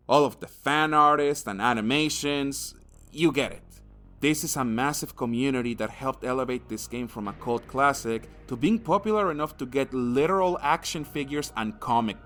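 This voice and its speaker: A man's voice: mid-range voice, fairly bright tone. A man narrating an opinionated video game review in a crude, sardonic way, swearing freely.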